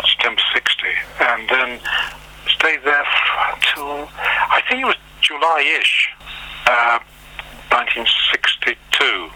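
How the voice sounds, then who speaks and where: An older man from Liverpool, recalling his life in an oral history interview, unhurried and rambling with frequent pauses and restarts. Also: mid-range voice, sharp tone, a little hiss or hum, rough recording. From Liverpool, England.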